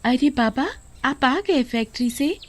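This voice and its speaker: A woman's voice: high voice, expressive, unhurried, voice pushed up. A woman voicing a ten-year-old girl, a devoted daughter in a moral story, tender and pleading. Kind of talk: Hindi moral story dramatization.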